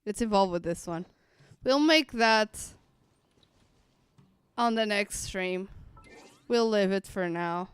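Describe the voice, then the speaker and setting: A woman's voice: medium pitch, slow, long pauses, smooth, no echo, faint music behind. A woman game streamer giving casual, playful live commentary with somewhat hesitant phrasing.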